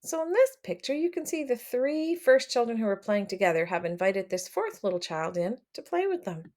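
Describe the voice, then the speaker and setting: A woman reading a picture book aloud to children, expressive and addressing them directly as she comments along. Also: fairly high pitch, normal speed. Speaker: read-aloud storyteller for children.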